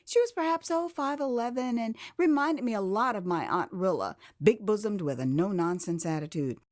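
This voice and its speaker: Female American narrator for first-person audio drama: lively, theatrical storytelling with a dry, intimate sound. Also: medium pitch, moderate pace, no room sound. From United States. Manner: expressive, theatrical. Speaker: author and narrator.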